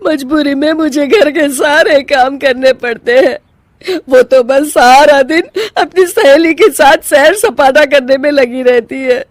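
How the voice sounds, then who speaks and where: Meek cartoon elder, crying, self-pitying, slow high-pitched wail. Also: female voice, no echo, studio quality. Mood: tearful, self-pitying. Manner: whiny, sobbing, plaintive. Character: meek, tearful older mother-in-law, put-upon and self-pitying.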